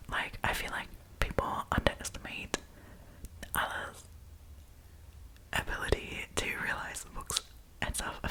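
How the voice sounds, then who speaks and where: A woman speaking in a breathy whisper, chatty and informal, as an ASMR storytime host reading and commenting casually. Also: low-quality recording.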